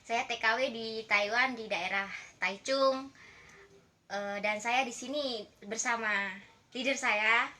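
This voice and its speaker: A woman's voice: a little high, slow, sharp tone. A woman with an Indonesian accent chatting casually as a guest who asks questions. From Lampung, Indonesia. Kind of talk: casual chat.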